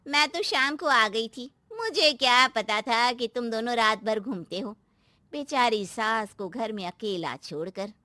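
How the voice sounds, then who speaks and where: A woman plays an older aunt-in-law in a family storybook tale. She is sharp-tongued and touchy, scolding and mocking in a fairly high voice. The recording is dry. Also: lively intonation, a little slow, no echo. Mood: nagging. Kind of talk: family moral-story acting.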